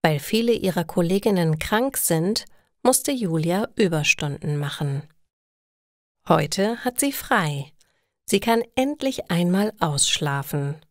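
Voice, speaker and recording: A woman reading a scripted story for language learners in a deliberate, careful storytelling manner. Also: fairly low pitch, expressive, dry recording, clean studio sound. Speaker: narrator of German listening lessons.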